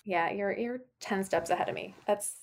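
A woman's voice: mid-range voice, moderate pace. A woman co-hosting a podcast in casual chat, asking questions and laughing easily. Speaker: podcast co-host.

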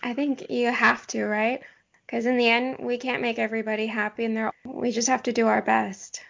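A woman hosts a casual interview in a fairly high voice with plenty of creak. She asks considerate, careful questions. The sound is a little dull and lo-fi. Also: level tone, moderate pace. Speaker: podcast interviewer.

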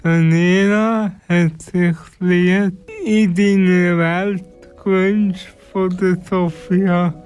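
Slow-speaking male radio presenter. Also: high-pitched, level tone, darkish tone, faint music behind. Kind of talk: song announcement.

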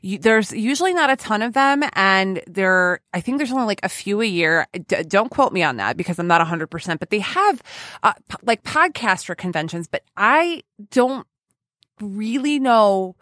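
A woman hosts a casual podcast conversation with a very expressive, swinging intonation. She is chatty and self-deprecating, with a giggly streak. Her voice sounds close and dry. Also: medium pitch, normal speed, no echo. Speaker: podcast host.

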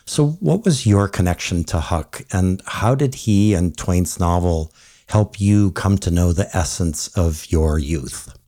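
A man's voice: deep voice, measured pace, mellow. A man from Hawaii hosting a podcast, asking reflective, conversational questions of his guest. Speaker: podcast host. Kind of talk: podcast interview.

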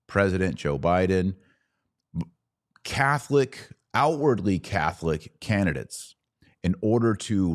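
A man hosting a podcast, giving live political and religious commentary in an emphatic, deliberate way, repeating phrases for stress. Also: deep voice, a little mellow.

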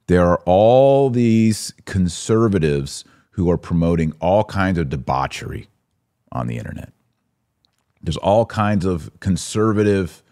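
A man's voice: deep voice, warm tone. A man hosting a podcast, giving opinionated live commentary in an emphatic way, with rhetorical questions and asides to his listeners. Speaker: podcast host.

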